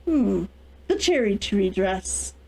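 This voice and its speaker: Cool young noblewoman, read by a woman, mellow voice. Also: mid-range voice, sing-song, slow, gravelly, heavily acted, rough recording. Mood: deliberate. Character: composed, demanding young noblewoman on eve of adulthood.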